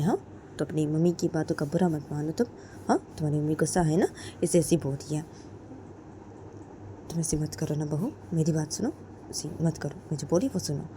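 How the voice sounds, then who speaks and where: A woman voicing a gentle middle-aged mother-in-law who soothes and coaxes softly. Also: deep voice, steady tone, a little fast, pauses often, a little hiss or hum, natural voice. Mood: soothing. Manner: soft, coaxing.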